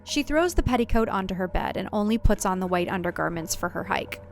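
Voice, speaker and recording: A woman's voice: mid-range voice, lively intonation, a little fast, creaky, soft background music. A woman who is the author, reading her audio drama as scripted narration with a wry storytelling delivery.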